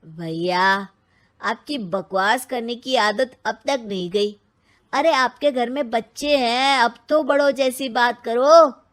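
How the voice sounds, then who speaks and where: A woman voicing a skeptical, easily frightened grown younger brother in a children's story, whiny and excitable. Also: fairly high pitch, slow pace. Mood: scolding.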